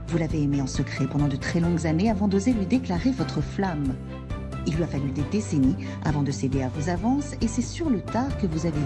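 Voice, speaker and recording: Woman announcer, fairly low, lively lilting read, studio voice-over over loud music. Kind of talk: promo voice-over.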